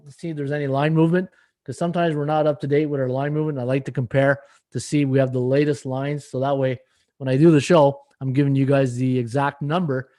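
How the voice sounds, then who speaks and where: A slightly hoarse middle-aged man hosting a live sports betting podcast, rambling through stats and viewer comments with many fillers. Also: fairly high pitch, dark tone. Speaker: podcast host and sports handicapper. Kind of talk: casual live-stream betting analysis.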